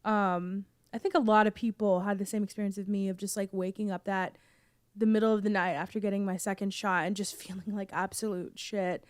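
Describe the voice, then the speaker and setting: A young woman, still hoarse from being sick, chats casually on a podcast and coughs now and then. She rambles through fillers and restarts. Her voice comes through smooth and close, with no room sound. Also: mid-range voice, little pitch movement, brisk, dry recording. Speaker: podcast co-host. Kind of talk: casual chat.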